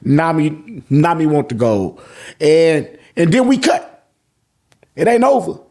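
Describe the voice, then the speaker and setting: A man's voice: fairly high pitch, very animated, slow, a little mellow. An American man hosting a casual, slangy manga review, swearing freely and repeating phrases for emphasis. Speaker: host of a manga review show. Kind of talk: casual, slangy chapter recap commentary.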